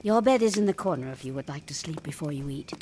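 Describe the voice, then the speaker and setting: A woman playing a kindly but firm cottage dame in an audio drama, gentle, patient and motherly. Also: deep voice, lively intonation, quick pace. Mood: welcoming. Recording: faint background noise.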